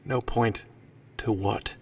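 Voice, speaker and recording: A man's voice: fairly low pitch, fairly even intonation, slow pace, vocal fry, a little mellow, husky, full character voice. A man playing an imperious, coolly menacing boss in a sci-fi audio drama, commanding and pointed with his questions. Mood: probing, amused.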